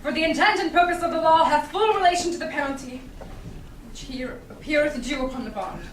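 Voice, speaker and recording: A woman playing a composed young male doctor of law in a staged Shakespeare reading, formal and measured. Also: high voice, natural voice. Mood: judicial, firm. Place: small theatre stage, indoors. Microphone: far audience camera. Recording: echoey stage, distant camera, faint noise.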